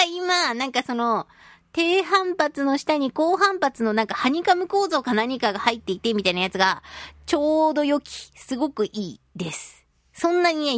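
High-pitched, animated radio hostess. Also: female voice, dry recording, clean studio sound. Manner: playful, theatrical, mock-polite phrasing. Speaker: radio talk show host; voice actress. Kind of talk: chatty radio talk, reading listener mail. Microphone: close studio microphone.

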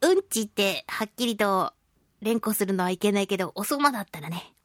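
A woman's voice: medium pitch, expressive, a little fast, a bit bright, dry recording, studio quality. A woman hosting a radio talk show, chatting casually and expressively as she talks with listeners. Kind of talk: casual chat, reading and answering listener mail.

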